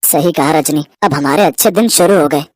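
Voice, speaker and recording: A woman putting on a low weary village father. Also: a little fast, a little mellow, no room sound. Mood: hopeful. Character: poor village gardener Roshan, weary father.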